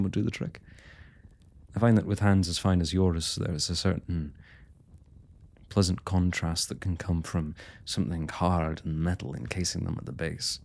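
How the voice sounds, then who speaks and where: A man's voice: low-pitched, creaky, a little mellow. A man playing a young royal blacksmith in an intimate fantasy roleplay, speaking to the listener in courtly, hesitant, old-fashioned phrasing. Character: young male royal blacksmith, smitten, forthright, proud working man.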